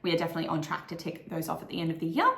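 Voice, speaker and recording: Croaky-voiced young Australian woman vlogging. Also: deep voice, animated, very fast, bright, forceful tone, roomy sound, a little hiss or hum. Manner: chatty and upbeat, with frequent "like" fillers. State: croaky morning voice. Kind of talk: casual monthly goals vlog.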